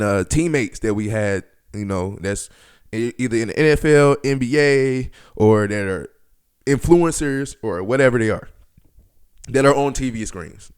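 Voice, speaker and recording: A man's voice: mid-range voice, clear, resonant tone. A man in his twenties hosting a podcast, telling stories casually in slangy, profane language and acting out bits of dialogue. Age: 20s. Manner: slangy, profane, animated retelling with quoted dialogue. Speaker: podcast host. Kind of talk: casual storytelling podcast talk.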